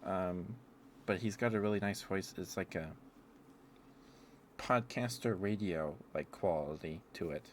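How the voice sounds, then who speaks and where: Low-voiced man rambling on an ASMR stream. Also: unhurried, pauses often, slight background noise. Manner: meandering, often chuckling to himself. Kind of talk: rambling ASMR livestream chat.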